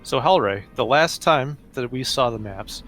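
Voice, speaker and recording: A man's voice: mid-range voice, expressive, a little slow, a bit bright, soft background music. A man voicing an android that reports threats in clipped, formal, emotionless phrases in a tabletop game. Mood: flat, clipped.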